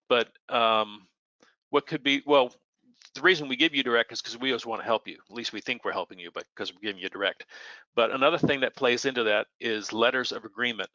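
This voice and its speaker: Bright-voiced American man explaining plainly. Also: medium pitch, no room sound, poor audio. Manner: plainspoken, illustrates points with imagined radio exchanges. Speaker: air traffic controller answering questions. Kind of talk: conversational expert Q&A, explaining.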